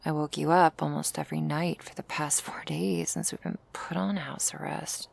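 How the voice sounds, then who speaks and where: A woman playing a weary human troubled by nightmares in an ASMR roleplay, drowsy, hushed and breathy. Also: low voice, fairly even intonation, normal speed, exaggerated voice. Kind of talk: scripted ASMR roleplay to the listener.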